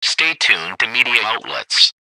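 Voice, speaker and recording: A man reading a scripted emergency broadcast announcement in a formal, measured, impersonal synthetic voice. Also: medium pitch, big pitch swings, bright, forceful tone, no room sound, rough recording.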